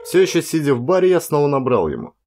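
A man reading a first-person story as an audiobook narrator, in an expressive, dramatic storytelling manner. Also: a little high, fast, slight background noise.

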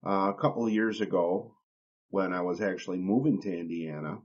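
Male trucker podcast host, low register, plain-spoken conversational delivery, slightly muffled lo-fi sound. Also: brisk. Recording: dull lo-fi audio.

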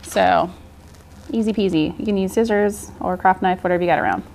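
Middle-aged woman, casual craft instructor, mid-range pitch, informal and encouraging, some studio noise behind. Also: brisk, vocal fry, a little mellow. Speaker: art journaling instructor. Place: indoor art studio, seated at work table.

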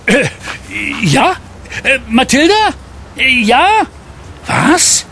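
An actor playing a good-natured, jovial middle-aged uncle who sells junk in a radio play. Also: male voice, very high voice, big pitch swings, drawn-out words, bright, forceful tone, a little hiss or hum, exaggerated voice. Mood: flustered.

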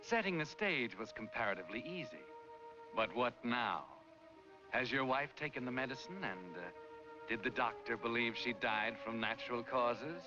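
An actor playing a knowing, taunting, ominous narrator in a 1940s film noir. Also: male voice, mid-range voice, animated, close to an everyday voice. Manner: measured, ominous, sardonic. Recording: muffled 1940s film soundtrack under music.

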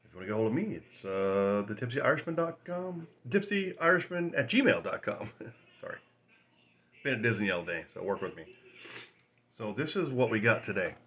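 A man in his forties with a raspy voice from a sinus infection, rambling casually through a whiskey tasting vlog at home, filming on a phone at arm's length. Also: lowish voice, big pitch swings, normal speed, a bit bright, highs cut off. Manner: rambling, chatty, laughs often, many fillers. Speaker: whiskey review host. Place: small room at home, indoors.

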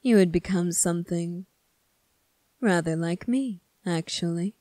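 A woman voicing a stern, bitter young male demon prince in an ASMR roleplay, speaking deliberately and accusingly, at times sinking toward a whisper. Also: on the low side, dark tone, dry recording, clean studio sound, close to an everyday voice. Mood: measured, tempting. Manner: slow, deliberate, accusing, with breathy whispered phrases. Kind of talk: scripted ASMR roleplay monologue.

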